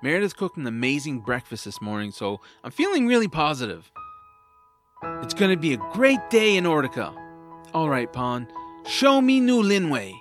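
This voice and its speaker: A man's voice: fairly high pitch, big pitch swings, normal speed. A man playing an upbeat, curious young boy hero in an audio drama, eagerly talking to himself over music. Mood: hopeful, curious. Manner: eager, self-narrating. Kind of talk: family audio drama acting.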